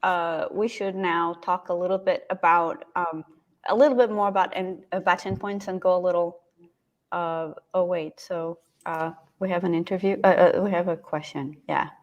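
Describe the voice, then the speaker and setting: A woman in her thirties co-hosts a casual live stream from a room at home over a video call. Her voice sits low, and she talks in a chatty way, often restarting her sentences. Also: fairly even intonation, normal speed, highs cut off. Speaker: co-host.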